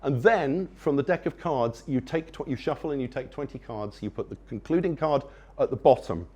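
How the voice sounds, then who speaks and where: A man's voice: medium pitch, normal speed, darkish tone, husky. A man giving a conference talk to an audience, enthusiastic and wry, rambling with frequent restarts. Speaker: conference speaker. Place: conference venue before an audience.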